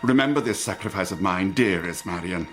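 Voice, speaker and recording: An actor playing a suave, courtly gentleman villain in an audio drama, florid and theatrical, with menace under the courtesy. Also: low-pitched, expressive, a little fast, faint music behind. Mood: tender menace.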